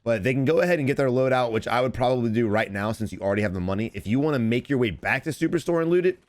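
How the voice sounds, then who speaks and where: A man in his thirties talks fast and eagerly, piling up tips and repeating himself as he breaks down a match. His voice is slightly hoarse from screaming on stream, and he speaks into a desk microphone at home. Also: medium pitch, expressive. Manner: rapid, repetitive, advice-giving. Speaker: gaming coach and streamer. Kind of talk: casual gameplay commentary and coaching. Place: home streaming setup indoors.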